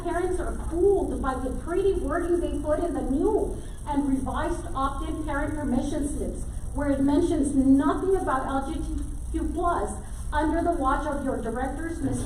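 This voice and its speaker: A woman's voice: high voice, darkish tone, a little hiss or hum. A woman reading a prepared public comment at a board meeting, listing accusations one after another. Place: school board meeting room. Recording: echoey meeting hall, mic far off.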